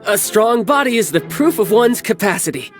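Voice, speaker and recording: A man's voice: high voice, sing-song, normal speed. An actor playing a young male military commander and doting big brother in a video game, speaking in a grandiose, commanding way with some awkward hesitation. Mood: grand, stern. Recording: music bed behind the voice. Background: background music.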